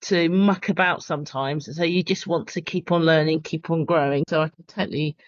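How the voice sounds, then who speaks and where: A woman's voice: sits low, steady tone, a little fast, dry recording. A woman hosting a podcast interview, encouraging and conversational with her guest. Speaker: podcast host. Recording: dry, dull lo-fi call audio.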